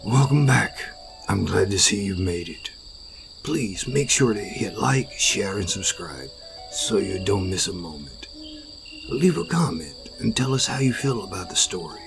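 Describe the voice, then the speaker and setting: A man's voice: low voice, animated, unhurried, vocal fry, music in the background. A man delivering a scripted outro as host of an audio show.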